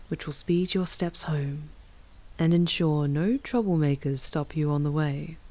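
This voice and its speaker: A woman performs an ancient demoness in an intimate ASMR audio drama. The voice is low and mellow, soft and often whispery. She speaks slowly and formally, wistful and tender with a sly edge. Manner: slow, formal, tender farewell speech. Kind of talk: ASMR audio roleplay, intimate scripted monologue.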